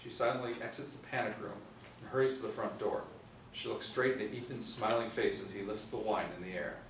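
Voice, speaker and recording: A man in his forties narrates a screenplay in a lowish voice. He is recorded from a distance in an echoey room. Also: steady tone, brisk. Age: 40s. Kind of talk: scripted narration of screen directions. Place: small indoor room, seated group. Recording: roomy, distant mic with faint noise.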